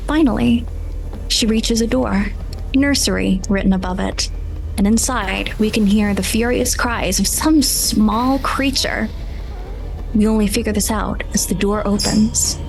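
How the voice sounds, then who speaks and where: A woman works as game master, improvising narration for a tabletop game. Her delivery is vivid and descriptive, at a medium pitch, with music clearly audible underneath. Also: a little slow, poor audio. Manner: vivid, descriptive scene-setting.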